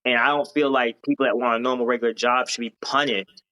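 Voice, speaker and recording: Bright-voiced man, earnest and rambling. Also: medium pitch, fairly even intonation, fast. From Hawaii. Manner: earnest, rambling, many fillers and restarts. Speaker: guest, founder of a gaming company. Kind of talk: casual podcast interview.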